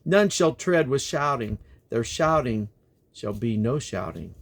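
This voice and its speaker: A man reading scripture aloud in a solemn, declamatory manner, with measured phrasing. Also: mid-range voice, animated. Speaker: Bible reader. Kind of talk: reading scripture aloud, King James text.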